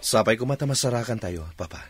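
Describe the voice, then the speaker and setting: A man's voice: mid-range voice, animated, quick pace, husky, no room sound. An actor playing an anguished, quarrelsome young father in a radio drama. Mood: troubled.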